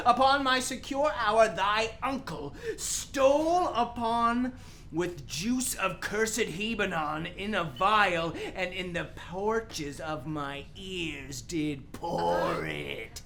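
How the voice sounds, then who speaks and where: Anguished dead king's ghost, high piercing voice, slow theatrical declamation, Shakespeare radio play, noisy recording. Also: lively intonation, pushed and bright, heavily acted. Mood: bitter, outraged. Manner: theatrical, drawn-out declamation with outcries. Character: anguished ghost of a murdered king and father.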